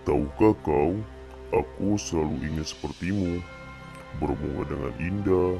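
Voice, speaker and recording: A male teacher voicing a sad, envious bamboo plant in a deep, slow voice while reading a children's fable. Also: warm tone, faint music behind, exaggerated voice. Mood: glum wondering. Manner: deep, gravelly, slow and glum.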